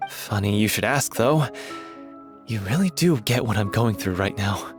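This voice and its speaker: A man's voice: fairly low pitch, expressive, moderate pace, over music, natural voice. A man voicing an earnest, determined young man of a theatre troupe in a video game, with a sincere, reflective manner. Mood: nervous, sincere.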